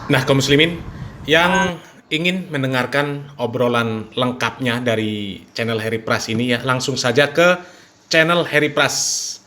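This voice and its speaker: A man's voice: mid-range voice, a little slow, background noise. A man giving commentary to viewers as a channel narrator.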